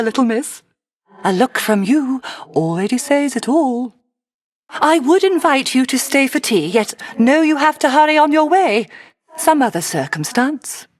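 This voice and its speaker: An actress voicing a doting, playful witchy enchantress in a video game, with courtly, lilting, old-fashioned delivery. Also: sits high. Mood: gentle, welcoming. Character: doting witchy island enchantress, gracious, playful, secretive hostess. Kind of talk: theatrical video game character acting.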